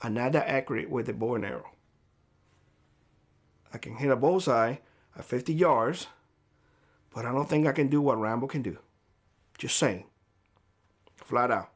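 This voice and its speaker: A man speaking straight to camera in a vlog, giving blunt, lecturing advice in a hoarse voice. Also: medium pitch, moderate pace, long pauses. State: hoarse, losing his voice. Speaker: prepper YouTuber. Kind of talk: direct-to-camera vlog monologue. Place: homestead.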